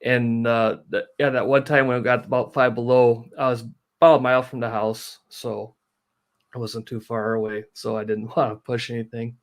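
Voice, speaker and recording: Man, medium pitch, practical low-key explainer, casual interview guest. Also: level tone, normal speed. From northern Wisconsin, United States. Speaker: guest, outdoor amateur radio operator. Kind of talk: casual live stream interview.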